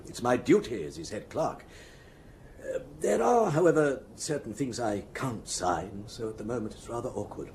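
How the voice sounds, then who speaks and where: An actor playing a fussy, discreet head clerk, formal and nervously deferential, with hesitant, precise phrasing in a radio drama. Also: male voice, mid-range voice, very animated, moderate pace, a little mellow, a little hiss or hum, lightly acted. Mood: guarded, hesitant.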